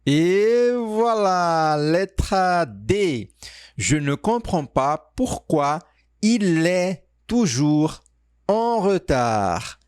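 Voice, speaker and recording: A man teaching French, reading quiz sentences and answer options aloud slowly and carefully. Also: high-pitched, big pitch swings, darkish tone, clean tone, no echo, clean studio sound. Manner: reads sentences slowly, stretched out, pauses on options. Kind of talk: slow, clearly spoken quiz reading.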